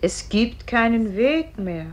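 An actress playing a solemn spirit who guides a dying soul, speaking in measured, incantatory phrases in a poetic radio play. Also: female voice, on the high side, sing-song, a little mellow, dull audio, a little hiss or hum. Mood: grave, unyielding.

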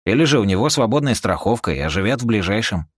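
Мужчина озвучивает суетливого, говорливого корабельного инженера в аудиокниге, взволнованно и по-разговорному. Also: male voice, lowish voice, very animated, quick pace, no echo, clean studio sound, pitched above the performer's own voice. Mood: explaining, urgent. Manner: agitated, wheedling, colloquial. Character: fussy, haggling male ship engineer, excitable and talkative.

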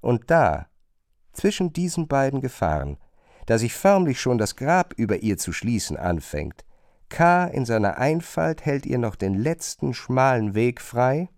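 Male narrator for literary radio drama, mid-pitched, highly varied intonation, very dry recording. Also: very animated, unhurried, darkish tone, dry recording.

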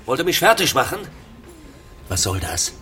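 An actor playing the defensive boss of a small delivery company in a radio drama, indignant or slick. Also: male voice, medium pitch, huge pitch swings, normal speed, bright, forceful tone, far from the mic, slight background noise. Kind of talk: naturalistic radio drama acting.

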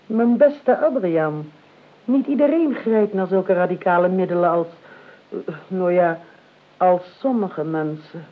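An actress in a Dutch radio play, playing an imperious, blunt older aristocratic aunt who speaks formally and with dry restraint. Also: female voice, lowish voice, measured pace, lightly acted. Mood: stern, businesslike. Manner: formal, crisp, deadpan. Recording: dull lo-fi radio recording.

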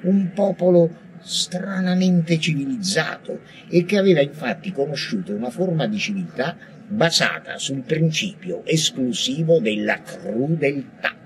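A man's voice: fairly high pitch, expressive, unhurried. A man playing an intense, defiant madman poet in a theatrical, declamatory radio monologue with prophetic ranting. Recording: noisy radio recording.

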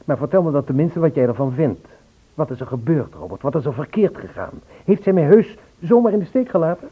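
A man's voice: on the high side, big pitch swings, a little fast. An actor playing an anxious, insistent man searching for a missing woman in a Dutch radio play. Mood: urgent pleading. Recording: husky, phone-like old radio play recording.

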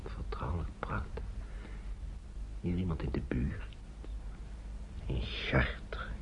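An actor playing a weary, worried guardian of refugee children in a radio play, thinking aloud in a halting, breathy, gravelly murmur. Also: male voice, extremely low pitch, full character voice. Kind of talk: radio play acting, murmured inner monologue. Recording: dull old radio play recording, faint noise.

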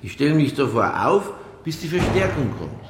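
An actor playing a stubborn, world-weary veteran homicide detective in a radio play, with a gravelly voice, a Bavarian accent and a laconic manner. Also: male voice, a little high, big pitch swings, normal speed, roomy sound, background noise. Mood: gruff, insistent. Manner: laconic, dry, unbothered. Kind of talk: radio drama acting.

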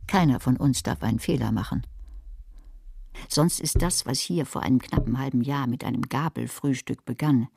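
An actress playing a dry, sardonic divorced librarian of 63 in a radio drama, speaking with understatement. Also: female voice, very deep voice, moderate pace, vocal fry, darkish tone, breathy edge, dry recording, exaggerated voice. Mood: wry, guarded. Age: 60s. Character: dry 63-year-old divorced retired female librarian. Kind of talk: radio drama narration and dialogue.

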